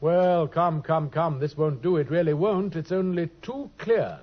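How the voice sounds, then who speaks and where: An actor playing a brilliant, dryly teasing detective in a vintage radio drama, speaking with crisp, precise wit. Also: male voice, on the high side, unhurried. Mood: wry. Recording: dull old radio recording.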